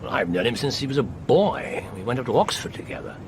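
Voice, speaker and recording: An actor playing a worldly middle-aged English doctor, wry and urbane, in a radio drama. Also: male voice, mid-range voice, very animated, quick pace, lightly acted. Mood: amused. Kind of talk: British radio drama acting. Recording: faintly noisy radio play recording.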